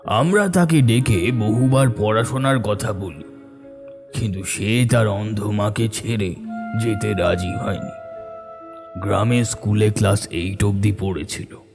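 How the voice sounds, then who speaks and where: A man playing a solemn older villager, reminiscing in measured cartoon storytelling. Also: fairly low pitch, creaky, over music.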